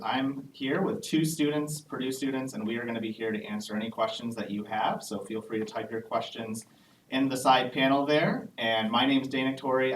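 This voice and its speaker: A man working as an admissions officer who hosts a live Q&A panel and explains things in a friendly way, with frequent "um" pauses. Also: medium pitch. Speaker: assistant director of international undergraduate admissions. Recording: echoey room, distant mic, faint background noise.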